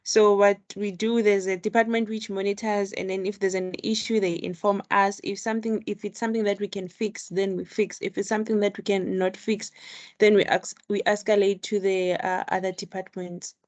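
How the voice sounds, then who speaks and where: A woman student speaks over a video call in an ordinary mid-range voice. She talks hesitantly, pausing often with ums and uhs as she explains herself. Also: mid-range voice, flat delivery, a little mellow. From South Africa.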